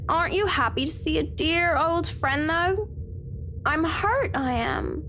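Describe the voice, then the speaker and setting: Woman voicing a high, taunting ghost girlfriend. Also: lively intonation, slow, bright, forceful tone, slight background noise, exaggerated voice. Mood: mock-hurt, teasing. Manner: taunting, coaxing. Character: playful, teasing ghost of a young female friend.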